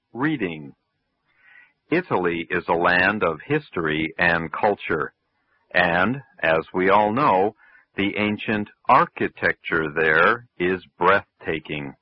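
A man reads an English lesson in a very low, unhurried voice, enunciating every phrase for learners. The sound is dry and close, with the muffled, lo-fi quality of an old radio broadcast. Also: dry recording. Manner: slow, careful, clearly enunciated. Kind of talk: scripted textbook reading.

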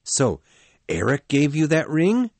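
A man's voice: mid-range voice, lively intonation, a little slow, a little mellow, breathy edge, dry recording, voice pushed up. A man voicing a young, anxious and jealous suitor in an audiobook, asking earnest pleading questions. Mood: angry. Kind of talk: audiobook dialogue acting.

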